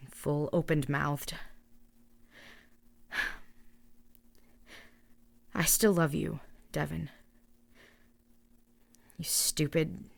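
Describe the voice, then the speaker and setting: A woman's voice: low-pitched, vocal fry, full character voice. A woman playing a captive young female spy in an audio drama monologue, bitter, wry and foul-mouthed, sighing between phrases. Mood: weary, tender. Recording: music behind the voice.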